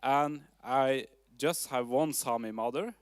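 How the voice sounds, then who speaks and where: A man with a Norwegian accent giving a public presentation at a town hall forum, speaking in a measured way with fillers and restarts. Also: mid-range voice, clear, resonant tone, studio quality. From Norway. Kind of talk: presentation talk.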